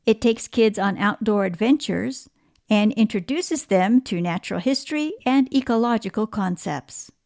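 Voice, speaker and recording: A woman hosting an interview podcast, speaking in a measured, clearly phrased way. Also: fairly high pitch, a little mellow. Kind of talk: scripted podcast introduction and interview.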